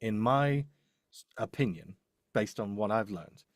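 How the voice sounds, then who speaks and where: A man's voice: lowish voice, lively intonation, a little slow, no room sound, clean studio sound. A man speaking off the cuff as a demo producer giving advice, emphatic, repeating his points and often checking in with "right?". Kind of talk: live coaching talk, unscripted.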